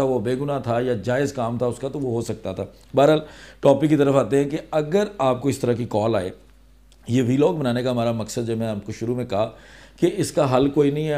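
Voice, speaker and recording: A man's voice: mid-range voice, warm tone. A man with a Pakistani accent speaking as a vlogger, explaining things to viewers conversationally and step by step. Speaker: vlogger giving legal awareness advice. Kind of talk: explanatory vlog talking to viewers.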